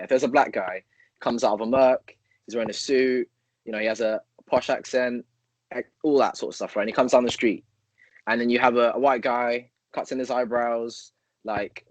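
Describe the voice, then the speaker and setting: A man's voice: mid-range voice, steady tone, brisk, no echo. A man co-hosting a podcast, thinking aloud in a rambling way, full of fillers and hypothetical examples. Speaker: podcast co-host. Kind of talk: casual conversational interview.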